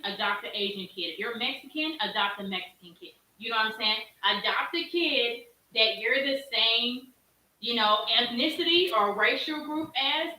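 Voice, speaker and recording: A woman with a big, bright voice states her opinions bluntly and keeps tagging on "you know what I'm saying." She is off camera, well away from the mic. Her voice comes through roomy and distant, over a faint hiss. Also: fairly high pitch. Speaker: guest in a stream. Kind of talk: casual opinionated talk.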